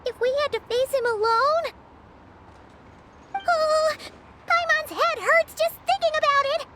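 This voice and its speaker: A woman voicing a small, excitable, easily frightened childlike fairy companion in a video game, squeaky and expressive. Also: measured pace, slight background noise, exaggerated voice. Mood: anxious. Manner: expressive, speaks of herself in third person.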